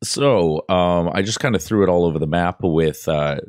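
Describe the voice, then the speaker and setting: Man, thirties, very low voice, informal tutorial talk, halting and rambling, dry close-miked sound. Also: darkish tone, no room sound. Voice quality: relatively low voice. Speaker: tutorial presenter. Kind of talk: casual screen-recorded tutorial. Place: indoor desk setup. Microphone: close boom-arm studio mic, headphones.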